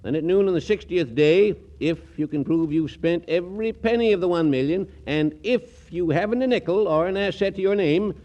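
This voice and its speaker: An actor playing an officious older estate lawyer, formal and hemming, in 1940s radio comedy style. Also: male voice, high voice, normal speed, few pauses, dark tone, natural voice. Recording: muffled old 1940s radio broadcast.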